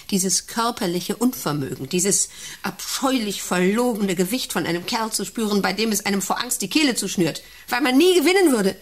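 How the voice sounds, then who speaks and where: An actress in a radio play playing an imperious, overwrought woman director, theatrical, moving between hushed musing and sharp commands. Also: mid-range voice, slightly rough. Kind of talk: German radio play acting.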